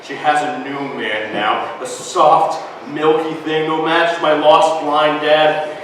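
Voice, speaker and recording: Male actor as a scared, foul-mouthed boy, high-pitched and raw, echoey hall heard from far off. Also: fairly even intonation, slow, slight background noise. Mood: haunted. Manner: haunted, then frantic shouting and cursing. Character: angry frightened boy named Billy, swearing. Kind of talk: stage monologue.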